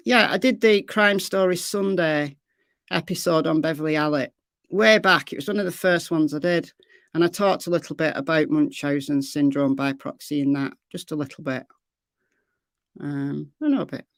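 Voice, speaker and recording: A middle-aged woman hosting a true crime livestream, chatting casually with viewers in a rambling way, her voice slightly hoarse. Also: sits low, dry recording, clean studio sound. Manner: rambling, chatty, trails off into fillers.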